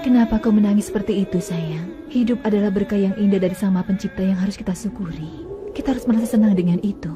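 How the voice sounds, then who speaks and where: A woman voicing a gentle mother camel. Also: mid-range voice, fast, natural voice. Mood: tender. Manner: soft, tender, soothing. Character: gentle blessed she-camel mother, calm and devout. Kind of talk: dubbed cartoon acting. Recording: music bed under dialogue.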